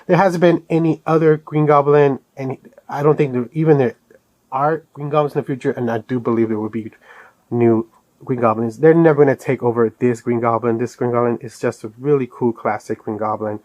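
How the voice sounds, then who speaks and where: A man's voice: mid-range voice, steady tone, a little fast, darkish tone, dry recording, lo-fi sound. A man giving a casual, rambling product review as an enthusiastic fan of collectibles. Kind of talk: casual unboxing review vlog.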